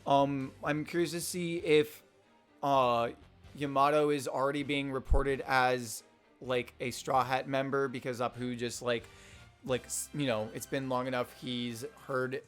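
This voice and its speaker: Slightly hoarse man rambling casually. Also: medium pitch, little pitch movement, unhurried, fairly bright tone, faint music behind. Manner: rambling, many fillers and restarts. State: slightly hoarse, losing his voice. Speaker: manga review vlogger. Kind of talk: casual unscripted commentary.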